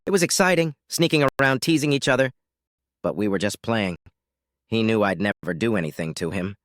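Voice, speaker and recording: A man's voice: fairly low pitch, expressive, fairly quick, no echo, clean studio sound, lightly acted. A man voicing a distraught, pleading wife who stammers and gasps through her words. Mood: defensive, protesting.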